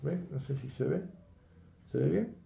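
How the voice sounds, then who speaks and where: A man's voice: a little high, unhurried, creaky, mellow, reverberant. An older man, teaching a fishing rig setup in a chatty tutorial and often asking his viewers "¿eh?" and "¿ves?". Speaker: fishing instructor. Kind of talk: hands-on tutorial demonstration. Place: indoor workroom at a table.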